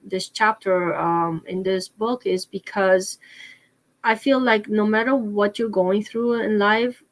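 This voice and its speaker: A woman's voice: mid-range voice, fairly even intonation, takes it slowly, poor audio. Lady, middle-aged, lots of ums and restarts, chatting about herself. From Poland. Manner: hesitant, many fillers and restarts. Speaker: author and guest. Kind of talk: interview answers.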